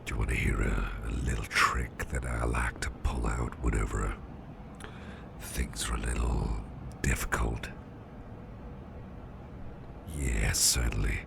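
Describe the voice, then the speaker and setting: Man playing a very deep, gravelly, murmuring boss. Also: steady tone, exaggerated voice. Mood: tender, reassuring. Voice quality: gravelly, breathy low murmur. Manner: slow, hesitant, intimate, soothing. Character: gruff businessman boss, caring and gently reassuring. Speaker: ASMR roleplay performer. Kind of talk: ASMR roleplay, soft half-whispered monologue. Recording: clearly audible background noise.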